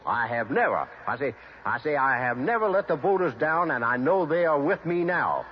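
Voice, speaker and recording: A man's voice: a little high, big pitch swings, normal speed, slight background noise, pitched above the performer's own voice. A man voicing a blustering, stubborn old American politician who pompously repeats himself in a radio sketch. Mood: blustering, defiant. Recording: dull old radio recording.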